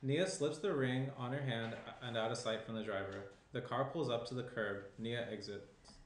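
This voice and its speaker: A man's voice: medium pitch, moderate pace. Young man narrating in a roomy space. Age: young adult. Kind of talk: scripted screenplay narration. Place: small indoor room at a round table. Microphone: shared desk microphone. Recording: roomy echo, faint noise.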